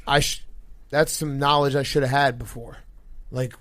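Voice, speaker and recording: A man's voice: medium pitch, steady tone, normal speed, fairly bright tone, dry recording. A man hosting a casual sports comedy podcast, joking and swearing freely. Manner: profane, joking. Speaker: podcast host.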